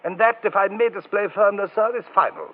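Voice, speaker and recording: Fussy valet, high voice, prim and obstinate, thin vintage radio sound. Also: male voice, expressive, fairly quick. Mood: prim, insistent. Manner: prim, deferential yet immovable, humming to himself. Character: fussy, stubborn, proper British manservant. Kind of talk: 1940s radio comedy acting. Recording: muffled, band-limited old radio recording.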